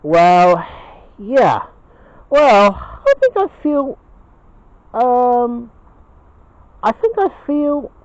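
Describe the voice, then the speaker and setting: A man voicing a young male bear son in a homemade cartoon, speaking in a halting, earnest way with repeated words. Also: extremely high pitch, sing-song, no echo, muffled recording, voice pushed up, full character voice. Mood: hesitant, earnest. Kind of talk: homemade cartoon puppet-style dialogue.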